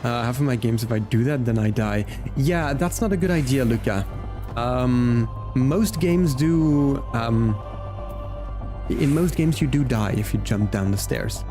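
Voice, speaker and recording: A man's voice: mid-range voice, animated, measured pace. A man giving casual gameplay commentary and chatting to viewers as a livestreamer, with music behind him. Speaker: game livestreamer.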